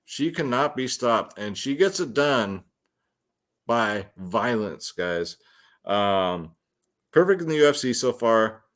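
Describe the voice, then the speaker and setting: Guy hosting fight picks, casual slangy talk to the viewers, regular mid pitched voice. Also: animated, slow pace, a bit bright. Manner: slangy, addresses viewers as guys and man. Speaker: host of a fight picks and fantasy sports show. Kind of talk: casual solo fight predictions and betting commentary.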